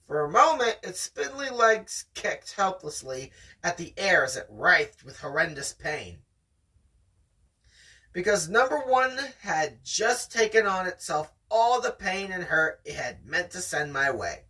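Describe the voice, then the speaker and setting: Amateur story reader with animated pitch and a forceful tone, casual chat between passages, sounds slightly off-mic. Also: measured pace, slightly rough, distant mic, rough recording. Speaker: host reading a novel aloud.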